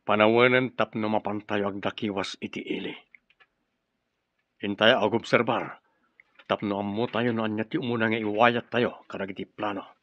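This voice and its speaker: Low-voiced commanding gang boss acted by a man. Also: fairly even intonation, normal speed, no room sound, close to an everyday voice. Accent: Ilocano. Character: commanding, cautious male leader of a secretive group. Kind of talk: Ilocano radio drama acting. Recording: dull radio drama sound, music behind.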